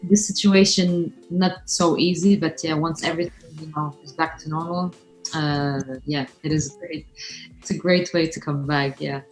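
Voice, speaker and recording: A woman's voice: sits low, fairly even intonation, moderate pace, soft background music, low-quality recording. A woman hosting a livestream, asking an illustrator questions over a video call in an upbeat, casual way with many fillers. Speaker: livestream host. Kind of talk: casual interview chat. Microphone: video call from home.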